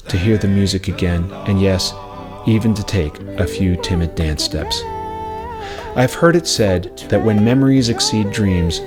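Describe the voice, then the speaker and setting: Low-voiced man narrating over string music. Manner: measured, reflective storytelling. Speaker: narrator of own memoir, former radio station worker. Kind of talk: scripted narration. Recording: music playing behind the voice. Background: plucked acoustic string music.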